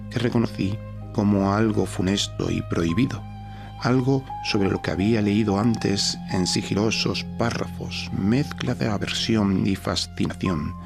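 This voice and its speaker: A man narrating a gothic horror story as a dramatic audiobook, with a solemn, measured delivery. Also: sits low, creaky. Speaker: podcast narrator reading a horror short story. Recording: narration over a music bed. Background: background music.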